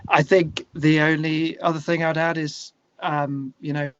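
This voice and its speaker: A man's voice: on the high side, little pitch movement, unhurried, dry recording. A British man with a slightly raised voice talks as a guest in a casual interview. He is earnest and discursive, circling back over phrases. The sound is close and dry, with a slightly dull, lo-fi edge. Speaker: interview guest, musician and songwriter.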